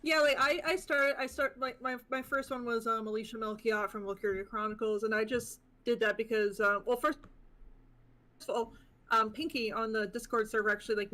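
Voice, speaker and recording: Stream guest, female, slightly high voice, loose filler-heavy chat. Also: a bit bright. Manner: rambling, many likes and ums. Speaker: stream guest, moveset creator. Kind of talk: casual live stream chat.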